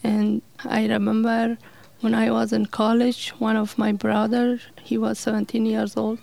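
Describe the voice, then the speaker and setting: A middle-aged woman, telling her life story in an interview in halting, simple English with fillers. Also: medium pitch, creaky, a little mellow. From Afghanistan.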